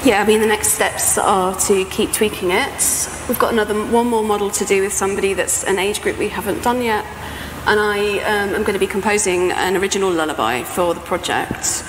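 A woman's voice: mid-range voice, fairly even intonation, brisk. A middle-aged woman with a British accent giving an informal, chatty conference talk on stage. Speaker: composer and sound art professor presenting. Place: stage in a large presentation tent or hall. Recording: echoey hall with background noise.

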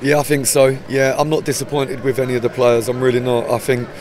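A man in his forties, a football manager with an English accent, giving a measured, hesitant post-match interview outdoors by the pitch. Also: little pitch movement, background noise. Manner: measured, hesitant, many restarts. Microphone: handheld mic held up close.

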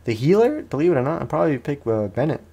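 A man's voice: fairly high pitch, sing-song, quick pace, vocal fry, mellow. A man giving casual let's play commentary as a gaming host, in a rambling, slangy, self-deprecating way.

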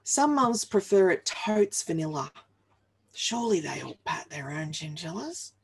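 An Australian woman in her forties reads humorous verse at an unhurried pace. Her delivery is expressive and deliberate, with a wry, playful touch. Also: on the low side, creaky, slight background noise. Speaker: reader of a humorous picture book. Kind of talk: expressive read-aloud of comic rhyming verse. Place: small home office, indoors.

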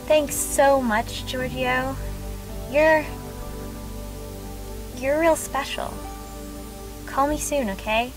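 A woman's voice: high-pitched, very slow, pauses often, over music. A young woman playing a sweet, wistful, lovestruck date in a comedic game cutscene. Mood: tender, wistful. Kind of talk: live-action game cutscene acting.

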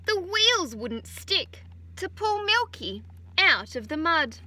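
Slow, bright-voiced teacher reading aloud. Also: female voice, very high voice, big pitch swings, slight background noise. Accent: Australian. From Australia. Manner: gentle, unhurried storytelling with chatty asides to children. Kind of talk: children's story time read-aloud. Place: outdoors on a farm beside a utility vehicle. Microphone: phone camera held close.

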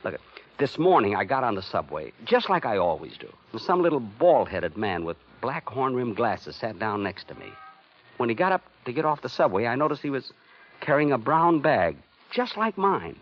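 Flustered, stubborn husband, swooping old radio delivery. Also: male voice, mid-range voice, very animated, normal speed. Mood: anxious explaining. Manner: stammering when flustered. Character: flustered, stubborn married man who found money. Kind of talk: 1950s radio drama acting. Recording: muffled 1950s radio recording with music.

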